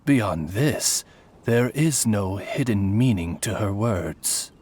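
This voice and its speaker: A man's voice: on the low side, expressive, takes it slowly, a little mellow, a little hiss or hum. A man voicing a composed, devoted raven familiar in a video game, speaking formally and politely like a butler.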